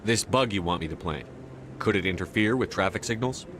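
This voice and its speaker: Deep-voiced, no-nonsense space commander played by a man. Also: fairly quick, lightly acted. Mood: probing, skeptical. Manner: direct, terse, controlled. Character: steady, no-nonsense male military commander of space opera. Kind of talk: video game dialogue. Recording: clear background ambience noise.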